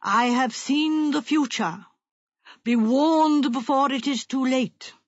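Woman intoning as an ominous, slow-speaking fortune teller. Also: sits high, no room sound, dull audio. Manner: slow, portentous. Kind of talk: audiobook character voice.

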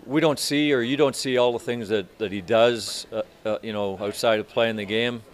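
An older man in his sixties, a hockey coach answering reporters after a game, speaking in a measured, halting way with many restarts. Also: mid-range voice. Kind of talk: postgame press interview. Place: arena media room in front of sponsor backdrop.

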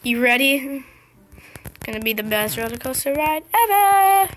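A young girl commentating her gameplay in a casual, excited, chatty way, her voice slightly scratchy. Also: high voice, moderate pace. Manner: excited, chatty, impatient exclamations. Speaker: gameplay video host.

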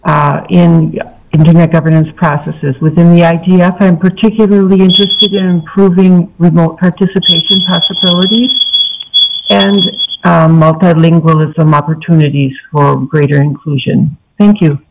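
A woman's voice: on the low side, flat delivery, normal speed, noisy background. A woman delegate giving a self-introduction to a meeting. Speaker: civil society delegate. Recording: dull, muffled remote audio.

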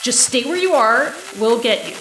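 A woman's voice: a little high, animated, normal speed, fairly bright tone. A woman playing an earnest government special agent in an audio drama, formal, businesslike and persuasive. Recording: echoey room, faint noise.

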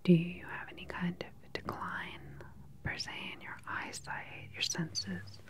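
A woman in her thirties performing an ASMR medical roleplay as a calm, attentive doctor, asking questions in a soft, unhurried, clinical way close to the microphone. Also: fairly low pitch. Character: calm, attentive government research doctor examining a patient.

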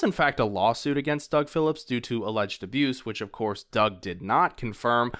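A man's voice: fairly high pitch, a bit bright. A man narrating a video essay in a casual, conversational way, with informal, wry asides.

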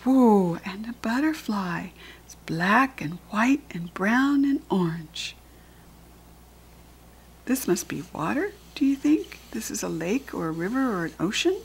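Slow-paced American woman reading to children. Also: medium pitch, lively intonation, creaky, husky. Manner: instructive, asks simple questions and points things out. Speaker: children's read-aloud host and reading teacher. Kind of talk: reading a picture book aloud to young children.

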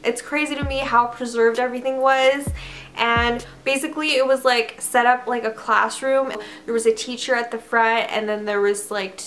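A woman's voice: fairly high pitch, steady tone, moderate pace, a bit bright, soft background music. A young woman from Canada telling a story to camera in a casual, chatty way, full of "like" and "I don't know". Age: young adult. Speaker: vlogger. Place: bedroom, indoors.

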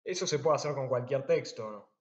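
Soft-spoken male student on a call. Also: medium pitch, fast, reverberant. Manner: brief agreeing replies, soft-spoken. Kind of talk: tutoring lesson over a call.

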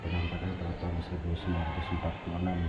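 A man's voice: low-pitched, monotone, very fast. A man from Makassar, Indonesia, narrating casually as he walks through a fort museum, pointing at exhibits with many fillers. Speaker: vlogger touring a museum. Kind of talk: casual walking tour narration. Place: indoor museum halls of an old fort. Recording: tinny phone-like audio in echoey rooms with loud noise.

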